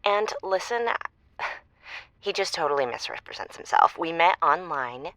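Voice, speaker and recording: A fed-up ex calling in to a comedy radio show. She gripes casually and bluntly in a lowish voice, with a very dry, close sound. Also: expressive, a little slow, fairly bright tone, no echo. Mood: disgruntled. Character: disgruntled, frank female ex-date calling radio show. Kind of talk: comedic radio call-in acting.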